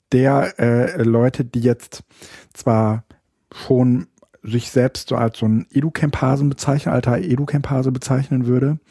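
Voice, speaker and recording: A man co-hosts a casual podcast, thinking aloud in long, winding sentences full of fillers and restarts. His voice is mellow and breathy-husky. The sound is dry and close. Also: mid-range voice, dry recording. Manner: hesitant, many fillers and restarts. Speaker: podcast co-host. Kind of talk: casual chat.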